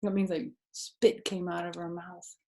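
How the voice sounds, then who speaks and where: Slow-paced woman reading to children. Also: fairly low pitch, level tone, darkish tone. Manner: slow, deliberate, explains words simply to children. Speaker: storyteller reading picture books to children. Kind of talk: read-aloud storytime with chatty asides. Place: small furnished living room, indoors.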